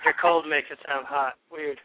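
A man's voice: a little high, steady tone, moderate pace, no room sound. A man phoning in to a radio show as a regular caller, chatting casually. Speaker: caller and parody songwriter. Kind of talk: casual chat. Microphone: telephone. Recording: thin phone-line sound.

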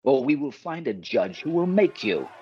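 A man playing an earnest, righteous, protective gentleman in a radio play, speaking formally and theatrically. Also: medium pitch, very animated, normal speed, dull audio, a faint crowd, a little hiss or hum, close to an everyday voice. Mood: indignant.

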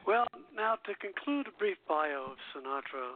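Male host, phone-grade audio, raised pitch, casual halting reminiscence with some reading from notes. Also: animated. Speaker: radio show host. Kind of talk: casual music history talk, partly reading notes. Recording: tinny, phone-like line.

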